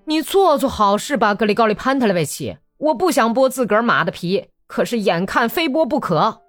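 A woman's voice: a little high, very animated, brisk, dry recording, clean studio sound, soft background music, pitched above the performer's own voice. A woman voicing a weary male soldier orderly who grumbles and pleads, raising her voice above her usual tone. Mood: grumbling, exasperated. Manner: complaining, pleading.

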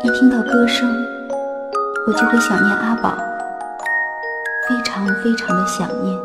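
A woman voices a lovesick young girl sock in a radio drama. Her voice is fairly high, soft and dreamy, full of innocent longing. She sounds roomy, with clear music underneath. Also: fairly even intonation, a little slow, lightly acted. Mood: wistful longing. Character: wistful young female left-foot sock, lovesick and innocent. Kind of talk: Chinese radio drama acting with inner monologue.

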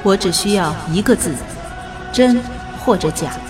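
A woman plays a decisive research scientist in an audio drama. She speaks with serious, precise insistence while music plays clearly behind her. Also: medium pitch, expressive, unhurried, close to an everyday voice. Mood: earnest. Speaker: voice actor.

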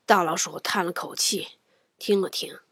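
A woman's voice: on the low side, steady tone, normal speed, a bit bright, slightly rough, no echo, clean studio sound. A woman narrating a picture book for children, playful and dramatic, often dropping into a whisper. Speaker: children's storyteller.

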